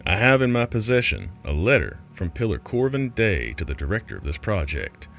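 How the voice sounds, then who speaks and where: A man plays a folksy renegade broadcaster spinning a horror tale. The low voice swings expressively, sardonic and conspiratorial in tone. It sits over music in a slightly muffled recording. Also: very animated, a little fast, creaky. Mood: wry, conspiratorial. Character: wry, folksy male underground broadcaster telling eerie tales. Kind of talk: scripted horror storytelling as a broadcast.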